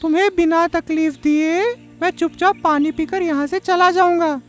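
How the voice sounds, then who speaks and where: A woman's voice: extremely high pitch, fairly even intonation, normal speed, faint music behind. A woman voicing a young male frog, polite and pleading, in a children's cartoon story. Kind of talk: Hindi children's animated story acting.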